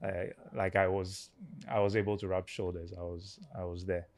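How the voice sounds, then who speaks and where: A man in his thirties with a Ghanaian accent, a startup founder chatting as a guest, thoughtful and pausing with fillers and restarts. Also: low-pitched, level tone, a little mellow. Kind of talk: casual interview chat.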